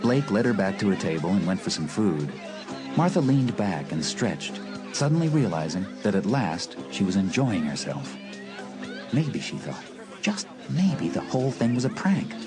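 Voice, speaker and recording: A man narrates a suspenseful audiobook in a fairly low voice. His delivery swings dramatically in pitch. Music is clearly heard behind him. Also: big pitch swings, moderate pace.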